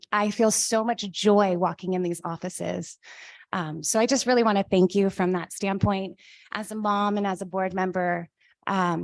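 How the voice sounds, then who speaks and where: A woman's voice: fairly low pitch, no echo. A woman board member, losing her voice, giving earnest thanks with many fillers at a meeting. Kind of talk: formal meeting remarks.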